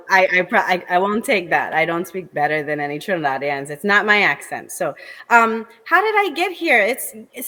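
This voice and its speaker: A woman from the United States, a comedian appearing as a talk show guest, who talks in a lively, candid way and jumps into quick asides. Her voice carries a long hall reverb. Her pitch sits in a middle range for a woman. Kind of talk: casual interview chat.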